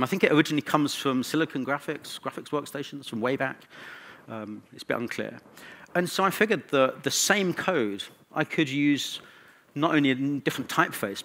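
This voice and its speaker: A man's voice: mid-range voice, a bit bright. Man giving an informal conference talk. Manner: informal, conversational, with wry asides. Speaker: conference speaker.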